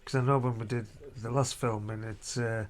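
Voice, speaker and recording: A man's voice: medium pitch, fairly even intonation, moderate pace, noisy background. A middle-aged man, a father chatting casually in a family vlog from a hotel room, rambling and down-to-earth. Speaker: vlogger, father.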